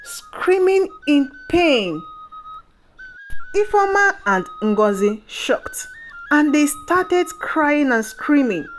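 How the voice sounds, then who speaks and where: Female storyteller, higher-pitched, expressive and unhurried, for scripted folktale narration over light music. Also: poor audio. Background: background music.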